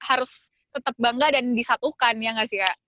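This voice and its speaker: High bright young woman from Java. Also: dry recording. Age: young adult. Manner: agreeable, quick to chime in. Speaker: podcast co-host. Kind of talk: casual chat.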